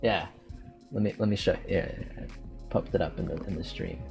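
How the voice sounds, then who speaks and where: Podcast host, male, Canadian accent, lowish voice, loose rambling delivery with restarts. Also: brisk, a little mellow, faint music behind. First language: English. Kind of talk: casual chat.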